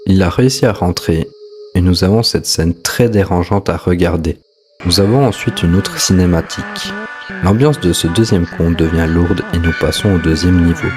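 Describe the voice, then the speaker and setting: A man's voice: sits low, expressive, normal speed, darkish tone. A man hosting and narrating a scripted explainer of a horror story. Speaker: host, narrator. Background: music.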